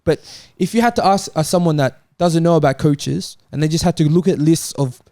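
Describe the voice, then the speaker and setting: A man's voice: fairly high pitch, brisk, dark tone, dry recording, clean studio sound. A man in a casual, insistent sports debate, arguing his point as a talk show panelist. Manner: argumentative, insistent.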